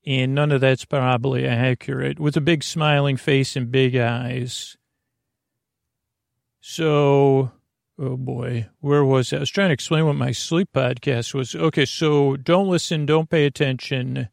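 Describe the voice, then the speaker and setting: A man with a gravelly voice hosting a sleep podcast, rambling through a bedtime monologue, over-explaining and trailing off mid-thought. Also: mid-range voice, steady tone, a little slow, no room sound, clean studio sound. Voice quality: gravelly, creaky. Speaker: podcast host.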